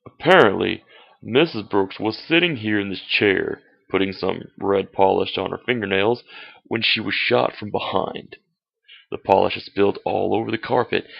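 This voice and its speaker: A man playing a formal, probing police inspector in an old-fashioned radio mystery, with deliberate authority. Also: fairly low pitch, gravelly. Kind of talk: old-fashioned radio detective drama acting. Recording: dull, lo-fi amateur radio-drama recording.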